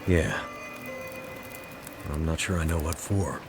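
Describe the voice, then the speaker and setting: A man's voice: a bass voice, slow pace, over music, exaggerated voice. An actor playing a weary, stubborn, self-doubting man out searching the wilderness in a video game drama. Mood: weary, doubtful.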